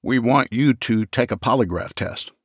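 A man's voice: medium pitch, moderate pace, no echo, studio quality, lightly acted. A man voicing a blunt, firm police investigator asking curt questions.

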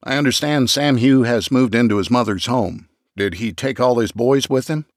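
A man's voice: medium pitch, normal speed, no room sound, clean studio sound, close to an everyday voice. A man voicing a blunt, firm police investigator asking curt questions.

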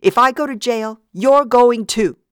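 A woman narrator voicing Vida, a vengeful murderous woman who shouts and shrieks. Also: unhurried, clean tone, dry recording, clean studio sound, close to an everyday voice. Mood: furious. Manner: shrill, furious, shouting.

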